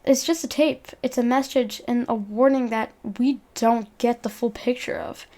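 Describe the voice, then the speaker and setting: Young woman, high-pitched, conversational video essay narration, earnest, slightly stumbling delivery. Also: steady tone, normal speed, warm tone.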